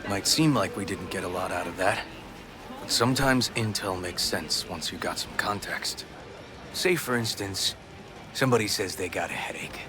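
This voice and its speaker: An actor playing a hard-nosed, streetwise detective in a video game, speaking in a terse, dry, wry manner. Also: male voice, low voice, moderate pace, over music, close to an everyday voice. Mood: wry, musing. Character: cynical, hard-nosed male police detective, wry and tough. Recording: clear noise behind the voice.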